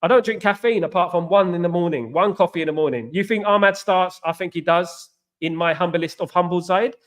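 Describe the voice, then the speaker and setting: Male stream host, high voice, loose repetitive chat reading out viewer comments. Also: a little fast. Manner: chatty, repeats himself, shouts out viewers. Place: China.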